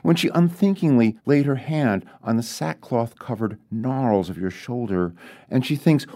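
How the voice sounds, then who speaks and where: A man reading his own short story as literary narration, deliberate and with dramatic pauses. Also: mid-range voice, warm tone.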